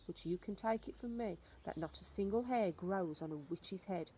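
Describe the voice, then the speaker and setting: A woman's voice: on the low side, moderate pace, mellow, natural voice. A woman voicing a stern, knowing old grandmother who instructs emphatically and ominously in a storybook reading, with some noise on the recording. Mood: snappish, emphatic.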